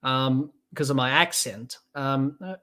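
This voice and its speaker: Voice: mid-range voice, slow pace, pushed and bright. Chatty young Aussie podcast commentator. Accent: Australian. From Australia. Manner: chatty, rambling, reads out viewer comments. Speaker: podcast host. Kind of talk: casual live-stream cricket commentary.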